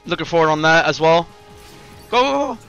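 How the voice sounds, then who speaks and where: A man in his twenties streaming a game, chatting excitedly and firing off repeated, slangy callouts to teammates. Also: on the high side, brisk, smooth, highs cut off, faint music behind. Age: 20s. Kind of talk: excited live gameplay chat with teammate callouts. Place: indoor room at home.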